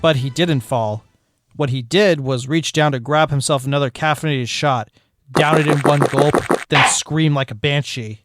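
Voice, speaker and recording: A man's voice: on the high side, normal speed, loud music behind the voice. A man narrating a fanfiction story aloud with a dry, wry delivery.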